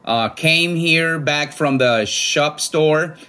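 A man's voice: fairly high pitch, takes it slowly, bright, forceful tone. A man in his forties chatting casually and enthusiastically as an amateur radio operator. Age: 40s. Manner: friendly, chatty, enthusiastic about old radios. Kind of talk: casual ham radio chat.

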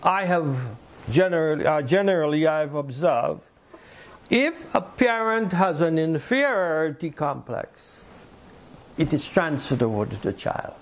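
Slow, gravelly older male preacher. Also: fairly high pitch, very animated. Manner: slow, deliberate preaching with pauses. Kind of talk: sermon. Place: small indoor room by a fireplace mantel.

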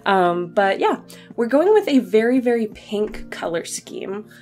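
A woman's voice: mid-range voice, very animated, measured pace, darkish tone. A woman who is a bit sick, narrating a game build video in a casual, chatty, self-deprecating way. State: sick, voice slightly off. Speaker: gaming video creator, narrator. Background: background music under voice-over.

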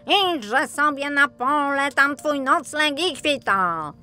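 Bright, chanting talking farm animals. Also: female voice, high-pitched, lively intonation, voice pushed up, exaggerated voice. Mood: scolding. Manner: drawn-out sing-song chanting.

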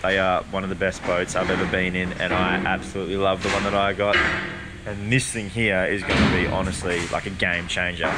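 Excited young Australian man vlogging. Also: low voice, a little fast, heavy background noise. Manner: excited, slangy, upbeat. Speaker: vlog host. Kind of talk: casual vlog chat to camera. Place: boat workshop, then outdoors on a boat.

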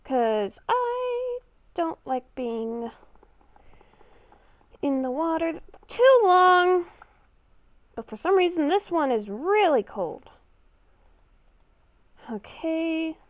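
A woman's voice: extremely high pitch, sing-song, extremely slow, long pauses, no room sound. A woman improvising in-character narration while playing a game, thinking aloud and repeating her phrases. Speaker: gamer playing a roleplay character. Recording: dull, lo-fi microphone.

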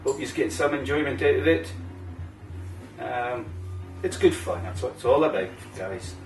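A middle-aged man with an Aberdeen Scottish accent, hosting a relaxed cigar review chat at a home bar and using local words like "wee" and "aye". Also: medium pitch, moderate pace. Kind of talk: casual chat. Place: home bar room, indoors. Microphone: camera mic at table distance. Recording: roomy, distant camera mic, faint music.

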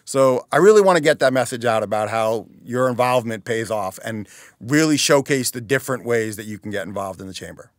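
A man's voice: mid-range voice, expressive, a little fast, no room sound, studio quality. A middle-aged man, a guest on a podcast, explaining in earnest, casual interview talk. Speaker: chamber of commerce president, guest. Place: small dark studio with couches. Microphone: studio mic on stand.

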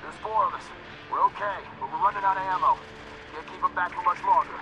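A man's voice: high voice, quick pace, muffled recording, soft background music, close to an everyday voice. A man playing a desperate, besieged soldier calling urgently for help in a war video game. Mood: shaken relief. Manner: urgent, panicked radio calling. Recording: loud gunfire and battle noise.

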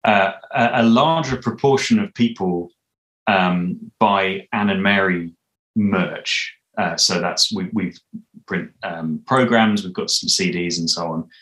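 A man in his thirties explaining things haltingly in a casual video interview, speaking on a video call from a room at home. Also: deep voice, a bit bright, rough recording. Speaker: podcast creator, interview guest. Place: small indoor room at home. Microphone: video call, computer at arm's length.

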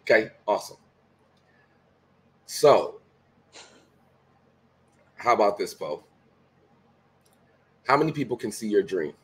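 A man's voice: on the low side, lively intonation, takes it slowly, long pauses. A middle-aged man guesting on a video call, talking in an emphatic, preachy motivational way and repeating his key phrases. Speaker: guest speaker. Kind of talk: motivational conversation. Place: home room, video call.

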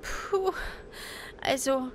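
A woman's voice: high voice, extremely slow, slight background noise. A woman voicing a cheerful, curious little cloud in a children's audio play, childlike and eager. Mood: exhausted.

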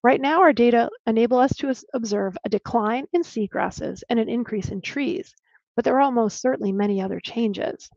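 A woman archaeologist presents her findings over a video call from a room at home. Her voice sits a little high with some vocal fry, and she explains carefully, now and then restarting a word. The laptop audio is dull, lo-fi and dry. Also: fairly quick, a little mellow, no room sound. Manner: clear explanatory lecturing with small restarts. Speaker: archaeologist giving a talk. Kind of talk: presenting a lecture with slides.

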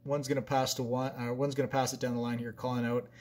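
A man coaching basketball talks through a practice drill at a whiteboard in a middle-range voice. He speaks plainly and conversationally, often pausing on fillers and restarting his phrases. A faint hum sits under the voice. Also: mid-range voice, little pitch movement, a little mellow. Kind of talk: explaining a drill over a whiteboard diagram.

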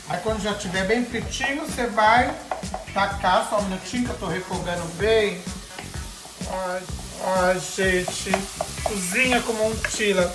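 A middle-aged woman with a Brazilian Portuguese accent cooks on camera in her home kitchen, her fairly high voice tossing out campy slang and playful cries. The camera's own mic catches her in an echoey room, and music plays clearly behind her. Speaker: cooking show host. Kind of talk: casual cooking demonstration.

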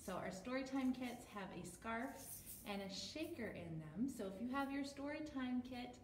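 A young American woman speaking as a storytime host for toddlers, in an animated, sing-song, exaggerated child-directed way. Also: on the high side, normal speed. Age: young adult. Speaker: children's librarian hosting storytime. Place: small bare room indoors at home. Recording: roomy, distant mic, background noise.

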